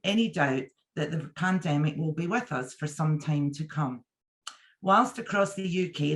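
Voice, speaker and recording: A woman who is a union deputy general secretary, giving a measured, formal conference address read from a script. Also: fairly low pitch.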